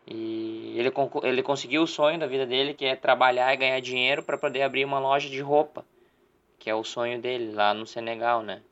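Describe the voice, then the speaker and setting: A man's voice: mid-range voice, fairly even intonation, brisk, a bit bright. A man from southern Brazil co-hosting a casual podcast, talking in long rambling stretches full of restarts. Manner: rambling, many restarts and fillers. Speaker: podcast co-host. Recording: slightly dull podcast audio with faint music.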